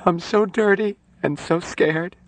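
A man voicing a distraught young woman, a timid but insistent nurse, in an audiobook with halting, pleading speech. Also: big pitch swings, no echo. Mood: shrill, tearful. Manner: pleading, halting, shrill when upset.

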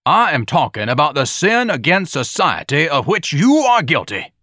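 Pompous, bellowing, outraged blowhard. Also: male voice, fairly high pitch, sing-song, moderate pace, sharp tone, no room sound, clean studio sound, pitched above the performer's own voice, exaggerated voice. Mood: indignant. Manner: bombastic, declamatory, over-formal diction. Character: pompous, outraged man, grandiose and bullying. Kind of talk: audiobook character acting.

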